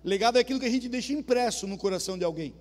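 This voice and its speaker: Southern Brazilian male pastor, high voice, chatty preaching in a reverberant hall. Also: animated, racing. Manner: conversational, asks the congregation questions. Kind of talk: sermon. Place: church hall. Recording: reverberant church hall with soft music.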